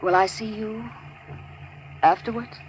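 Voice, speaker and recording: A woman's voice: mid-range voice, fairly even intonation, muffled recording, natural voice. An actress playing an ambitious, earnest young woman lawyer in a radio crime drama, wary and questioning. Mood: wary, probing. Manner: wary, questioning, quick to justify herself. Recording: slightly noisy old radio recording.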